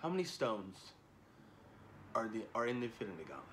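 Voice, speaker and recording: A man in his thirties chatting casually and excitedly to camera, slangy and repeating himself for emphasis, as a reaction video host. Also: fairly low pitch, fairly quick. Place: small indoor room at home. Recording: noticeable background noise, home camera recording.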